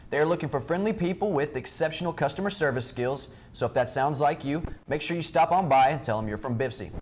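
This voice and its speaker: A man's voice: on the high side, lively intonation, fast talker, a little hiss or hum. Young man co-anchoring college news. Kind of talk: read news announcements. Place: college TV studio.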